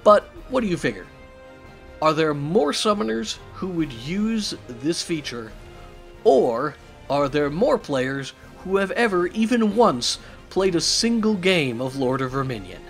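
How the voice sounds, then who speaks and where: Man with a raspy, strained voice. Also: on the high side. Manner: thoughtful, opinionated, many fillers. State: hoarse from a cough. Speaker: YouTube channel host. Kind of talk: news recap commentary.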